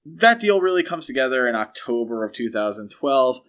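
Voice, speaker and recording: A man with a medium-pitched voice co-hosts a casual discussion podcast. He explains things step by step in a conversational way, as if talking a topic through with a partner. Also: big pitch swings, moderate pace, fairly bright tone. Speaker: podcast co-host. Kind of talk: casual film franchise discussion.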